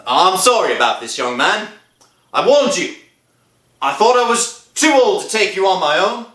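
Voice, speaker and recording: A man's voice: sits high, big pitch swings, normal speed, fairly bright tone. A man playing a wry, placating old gravedigger in a 1960s radio horror drama. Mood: grim, weary. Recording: old radio recording, echoey.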